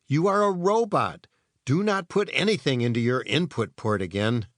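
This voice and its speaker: A man's voice: mid-range voice, animated, normal speed, no room sound, clean studio sound, natural voice. A man voicing an earnest boy inventor in a children's storybook, explaining science eagerly and emphatically.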